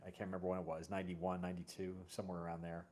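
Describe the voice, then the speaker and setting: Man, low voice, casual talk-show host, rambling, conversational, self-correcting. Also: level tone, rapid-fire, darkish tone, slight background noise. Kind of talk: casual conversation about music and musicians.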